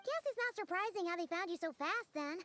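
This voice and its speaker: A woman's voice: very high voice, animated, fairly quick, a bit bright. A woman voicing a small, eager young companion with a chirpy, blunt, inquisitive way of talking. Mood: curious. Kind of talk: game voice acting.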